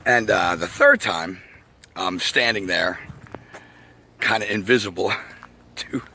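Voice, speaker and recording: A man with a raspy voice talks casually to camera outdoors, rambling, swearing, and laughing often as he goes. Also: sits low, takes it slowly, sharp tone, a little hiss or hum. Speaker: vlogger. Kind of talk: casual outdoor video monologue. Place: outdoors by a path.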